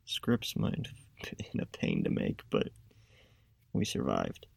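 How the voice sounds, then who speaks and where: A young man, a student making a class podcast, telling a travel story in a casual, self-described monotone voice. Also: extremely low pitch, slow pace, creaky, husky, no echo. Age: young adult.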